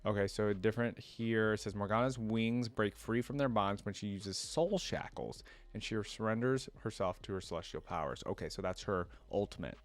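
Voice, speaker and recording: A man's voice: lowish voice, moderate pace, hardly any pauses. A man hosting a casual reaction video, reading reference text aloud and breaking off for informal commentary. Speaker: YouTube reaction host.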